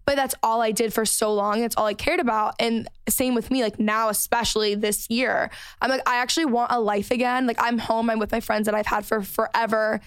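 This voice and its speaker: A woman in her twenties hosting a podcast, chatty and eager, with quick asides and lots of questions. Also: fairly high pitch, fairly even intonation, clean tone, no room sound. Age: 20s. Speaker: podcast host. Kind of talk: casual podcast chat.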